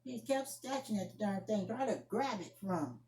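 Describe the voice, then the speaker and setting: A woman acting an indignant young woman in a solo amateur monologue, scolding and defending herself emphatically with repetitions and halting restarts. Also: medium pitch, expressive, dark tone, close to an everyday voice. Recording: echoey room.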